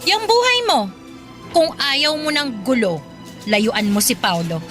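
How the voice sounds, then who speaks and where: An actress playing a jealous, possessive young fiancée in Filipino radio drama, pointed and challenging, with a Filipino accent. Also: female voice, a little high, huge pitch swings, slow, fairly bright tone, exaggerated voice. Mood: jealous, threatening. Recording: prominent background music under the voice.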